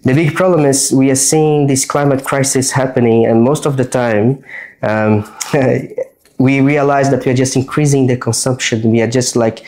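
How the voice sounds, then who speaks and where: A man in his thirties from Brazil with a Brazilian accent, talking about his design work in a relaxed, earnest way while getting a haircut. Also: mid-range voice, moderate pace. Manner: relaxed, earnest, restarts words while explaining. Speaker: guest, design strategist. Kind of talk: casual chat during a haircut. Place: barbershop.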